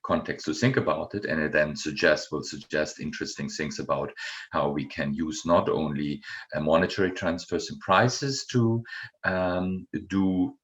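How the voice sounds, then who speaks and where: Very deep-voiced German-accented male lecturer. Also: a bit bright, highs cut off. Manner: unhurried, many ums and uhs. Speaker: guest lecturer. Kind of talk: university lecture.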